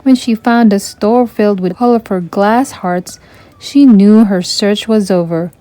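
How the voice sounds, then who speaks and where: A woman reads a children's story aloud as its narrator. Her tone is mellow and warm, at a middle pitch. The sound is dry, with very little room in it. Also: a little slow, dry recording.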